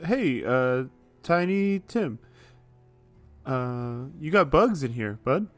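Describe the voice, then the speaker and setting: Slow-talking man in a tabletop game. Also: mid-range voice, big pitch swings, soft background music. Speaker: player in a tabletop RPG podcast. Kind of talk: casual improvised game chat.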